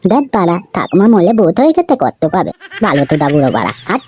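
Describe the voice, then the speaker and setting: A woman's voice: fairly low pitch, big pitch swings, rapid-fire, dark tone, a little hiss or hum. A woman voicing a bratty, demanding, foul-mouthed little grandchild in a comedy cartoon. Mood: defiant, sulky.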